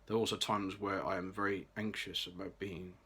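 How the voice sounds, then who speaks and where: Low-voiced young man, bright tone. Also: fairly even intonation, a little fast, slightly rough. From Birmingham, England. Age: late 20s. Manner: careful, read-aloud delivery with occasional restarts. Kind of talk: scripted personal vlog.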